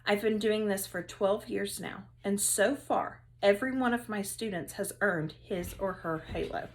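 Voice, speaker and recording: A woman's voice: mid-range voice, a little slow, close to an everyday voice, rough recording. A woman voicing an upbeat female third-grade teacher in a storybook, emphatic and teasing with her class. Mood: earnest.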